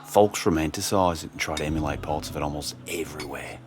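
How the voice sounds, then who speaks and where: A man's voice: extremely low pitch, fast talker. A man playing a friendly, knowing colonist bartender in a sci-fi radio drama, chummy and slangy. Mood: easygoing, knowing. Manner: chummy, slangy, calls listener mate. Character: friendly, knowing male space-colonist bartender with pirate tattoos. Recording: music behind the voice.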